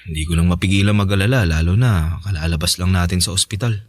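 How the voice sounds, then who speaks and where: A man's voice: sits low, quick pace, dry recording, studio quality. Man acting a polite, earnest young Filipino boyfriend. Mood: worried, sad. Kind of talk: radio drama acting.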